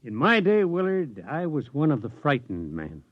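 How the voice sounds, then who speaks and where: An actor playing a weary, disillusioned elderly scientist in a reflective 1950s radio drama style. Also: male voice, mid-range voice, wildly swooping pitch, dry recording. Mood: resigned. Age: older. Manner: reflective, measured speechmaking. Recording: dull vintage radio recording.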